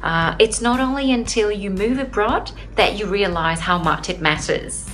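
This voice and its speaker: A woman's voice: medium pitch, animated, moderate pace, fairly bright tone, faint music behind. A young adult Brazilian woman and English teacher answering interview questions earnestly, with many "uh" fillers. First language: Portuguese. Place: home in Sydney.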